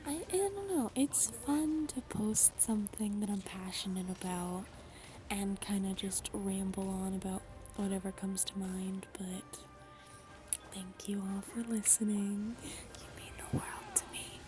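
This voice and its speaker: A young woman whispering her way through soft-spoken gaming chat. She rambles, with frequent ums, and her voice is a little raspy from a dry throat. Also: mid-range voice, a little slow, over music. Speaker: gaming YouTuber.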